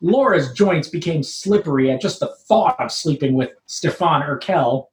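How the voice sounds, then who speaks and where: A woman's voice: low-pitched, expressive, normal speed, darkish tone. A woman reading a scripted comic story aloud as narrator. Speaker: troupe member reading own comic story. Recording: roomy, echoing live room.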